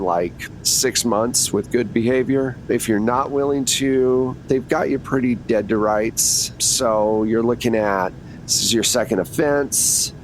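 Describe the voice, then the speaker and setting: Man acting, tired public defender, frank, casual, hesitant, tabletop game, faint rumble behind. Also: lightly acted, poor audio. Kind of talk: improvised tabletop roleplay. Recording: rumbly background noise.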